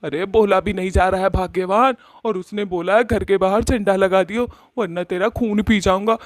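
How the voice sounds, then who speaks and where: A man's voice: high voice, steady tone, moderate pace, dry recording, studio quality, lightly acted. A man voicing a fearful old villager in a cartoon story, repeating words in a pleading, agitated way. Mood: shaken. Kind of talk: Hindi cartoon story voice acting.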